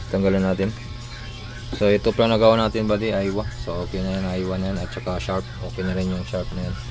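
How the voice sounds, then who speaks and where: A man's voice: deep voice, completely flat delivery, fast talker, loud music behind the voice. A man with a Filipino accent hosting a casual hands-on repair tutorial, talking informally through each step as he works. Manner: informal, talking through steps while working. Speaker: electronics repair tutorial host.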